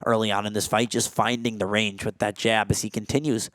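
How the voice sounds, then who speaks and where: Excitable young male fight commentator. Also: fairly quick, no room sound. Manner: rises sharply in pitch and volume on big strikes; frequent "uh" fillers. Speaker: play-by-play commentator. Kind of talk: martial arts play-by-play commentary.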